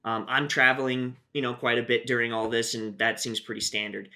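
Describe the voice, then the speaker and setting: Lively, bright-voiced man chatting wryly. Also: mid-range voice, fairly quick. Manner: chatty, wry, frequent "uh" fillers. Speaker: YouTube host. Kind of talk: casual commentary on news.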